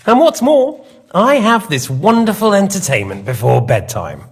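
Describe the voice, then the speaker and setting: A man's voice: sits high, sing-song, moderate pace, husky, exaggerated voice. A man playing a smug, sadistic lord in a game, interrogating with mocking, theatrical, mock-polite menace. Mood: gloating. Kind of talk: dramatic game acting.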